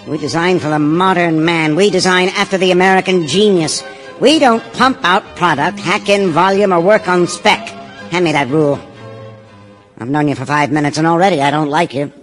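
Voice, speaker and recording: A man's voice: sits high, fairly quick, long reverb tail, faint music behind. An actor playing a brash, arrogant young male architect in an audio stage drama, blunt and sarcastic. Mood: domineering. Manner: blunt, sarcastic, forceful declarations.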